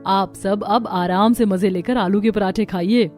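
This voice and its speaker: A woman's voice: mid-range voice, fairly even intonation, a little slow, a little mellow, faint music behind, natural voice. A woman voicing chatty, opinionated women of an Indian household in a cartoon family story. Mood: helpful.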